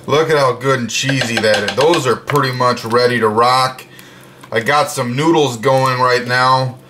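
A man talks through his home cooking at an unhurried, drawn-out pace, casual and chatty with frequent fillers. He speaks at an everyday middle pitch. He's picked up by the camera mic in a kitchen with a faint hum of noise behind him. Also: fairly even intonation. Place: home kitchen with open windows.

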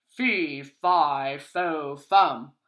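A woman voicing a big, hungry male giant from a storybook, chanting menacingly in a booming roar. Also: drawn-out words, fairly bright tone, exaggerated voice.

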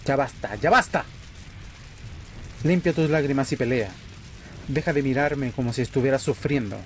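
A man playing a cold, embittered vampire in an amateur audio roleplay, menacing and deliberate in his delivery. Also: mid-range voice, a little hiss or hum, close to an everyday voice. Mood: bitter, insistent. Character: cold, threatening male vampire, embittered former friend.

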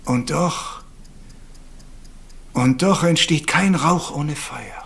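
Shrewd, courteous detective, higher-pitched. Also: male voice, gravelly, fairly bright tone, full character voice. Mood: calm, reasoning. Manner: measured, sprinkles French phrases. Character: courteous, shrewd Belgian male detective, middle-aged. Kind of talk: German crime radio play acting.

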